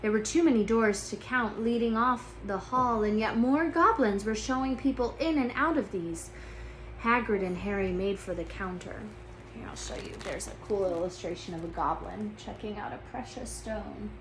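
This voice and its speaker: A woman reading a children's book aloud as a teacher would, in expressive storybook narration. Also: medium pitch, normal speed, noisy background.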